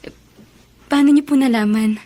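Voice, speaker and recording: An actress playing a shy, polite young student daughter with a Filipino accent in a radio drama. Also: female voice, high voice, normal speed, dark tone. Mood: bashful. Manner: polite, girlish, hesitant.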